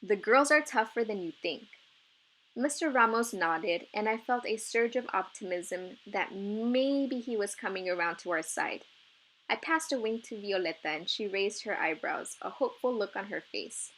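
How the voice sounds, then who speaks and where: A woman's voice: mid-range voice, moderate pace, fairly bright tone, slight background noise, rough recording. Woman reading a children's novel aloud. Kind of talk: expressive storybook read-aloud.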